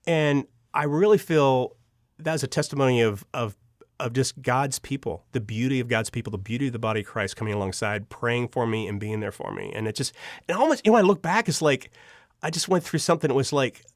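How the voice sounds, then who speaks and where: A man's voice: medium pitch, animated, a little fast, dry recording, clean studio sound. A man speaking as an interview guest, sharing personal testimony casually and earnestly, with emphatic repetition and frequent restarted sentences.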